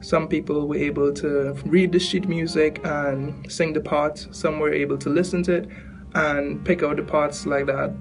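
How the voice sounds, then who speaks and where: A man's voice: a little high, little pitch movement, normal speed, gravelly. A man who does not sing, talking enthusiastically in an interview. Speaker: interviewee, non-singer participant. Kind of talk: casual interview.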